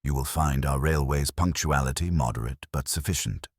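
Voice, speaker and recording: A man reading a courteous, quietly firm police inspector in an audiobook, polite, understated and formal. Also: rumbling low, normal speed, slightly rough, no echo, studio quality.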